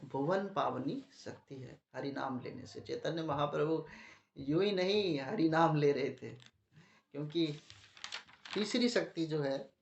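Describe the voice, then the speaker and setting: A man's voice: on the high side, gravelly. A man with a sore throat giving a devotional talk to a gathering, asking the audience questions and answering them himself. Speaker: preacher. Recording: muffled, echoey room.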